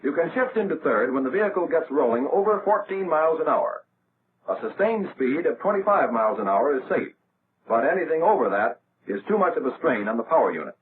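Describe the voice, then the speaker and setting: An American man narrating a 1940s military training film in a measured, instructional way, as a scripted voice-over. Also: fairly high pitch, sing-song. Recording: tinny, band-limited, noisy old film soundtrack.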